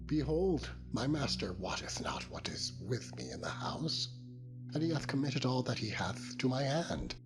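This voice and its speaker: A man's voice: mid-range voice, sing-song, unhurried, pitched above the performer's own voice, low-quality recording. A man voicing an upright young servant, earnest and emphatic, in a dramatized scripture reading over music. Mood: earnest refusal. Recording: loud meditation music under voice.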